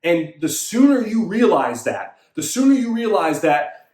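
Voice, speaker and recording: High-voiced man giving a fitness pep talk. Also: sing-song, unhurried, darkish tone, roomy sound. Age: 30s. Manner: emphatic, repetitive coaching pep talk. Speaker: fitness coach and show host. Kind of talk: motivational monologue.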